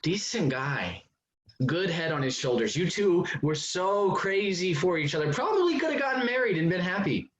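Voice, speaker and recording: Jealous abuser for stage drama, female reader: low register, animated swings, crude and taunting. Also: normal speed. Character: menacing, jealous abusive man named Vincent, taunting and crude. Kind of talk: staged play reading.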